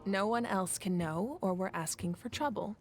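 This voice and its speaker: A composed female leader from a video game, voiced by an actress, with a mid-range voice. She is candid, assured and reassuring. Music plays clearly underneath. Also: mid-range voice, moderate pace, natural voice. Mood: frank, composed. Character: composed, reassuring woman ruler carrying heavy burdens. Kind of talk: English game voice acting.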